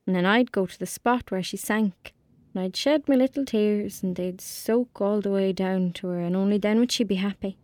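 A woman's voice: medium pitch, moderate pace, a little mellow, no room sound, natural voice. Woman playing a lonely young Irish girl, dry close sound. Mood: glum, wistful. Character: lonely, anxious young girl in rural Ireland, sullen and imaginative. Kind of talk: naturalistic audio drama acting.

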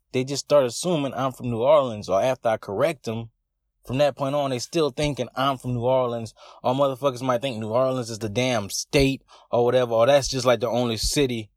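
A man's voice: mid-range voice, no echo, clean studio sound. A young man from Southwest Louisiana with a Louisiana accent, ranting emphatically on a podcast in a drawling Southern way. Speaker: podcast co-host. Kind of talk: scripted rant segment.

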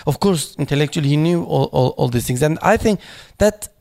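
A man speaking at a memorial panel, halting and searching for words, with many fillers and restarts in English that is not his first language. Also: on the high side, lively intonation, gravelly, darkish tone. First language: not English; foreign accent in Turkish noted.

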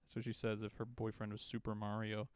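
A man in his twenties co-hosting a podcast, joking in a sarcastic, deadpan way. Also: fairly low pitch, quick pace, dry recording, clean studio sound. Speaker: podcast co-host. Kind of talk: casual chat.

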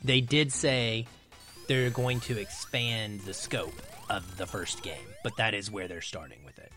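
A man's voice: fairly low pitch, unhurried, music in the background. A man talking casually and enthusiastically about films as a podcast guest. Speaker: podcast guest, film critic. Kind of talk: casual film discussion.

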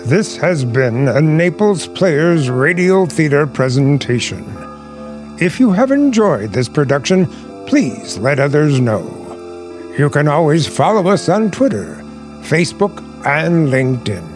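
A man's voice: on the high side, big pitch swings, measured pace, darkish tone, faint music behind. A man working as an announcer, reading a scripted outro for a radio theater production.

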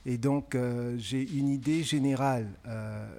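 A middle-aged man who grew up in francophone Africa, answering interview questions in a measured, thoughtful way with frequent pauses. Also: medium pitch, steady tone, gravelly, mellow. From francophone West and Central Africa. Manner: measured, thoughtful, pausing often with hesitations. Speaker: guest author.

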